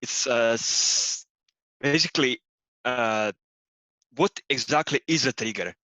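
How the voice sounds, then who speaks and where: A man's voice: mid-range voice, takes it slowly, bright, forceful tone, no room sound. A man whose first language is Croatian, asking a question in a class with many hesitant fillers. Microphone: video call. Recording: dull dry lo-fi sound.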